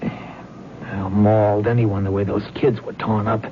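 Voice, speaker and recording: A man's voice: on the low side, flat delivery, unhurried, close to an everyday voice. Flustered young man voiced by an actor, hesitant, polite, earnest, old radio drama, narrow band, faint noise. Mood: uneasy. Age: young adult. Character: earnest, flustered young fiancé investigating strange deaths.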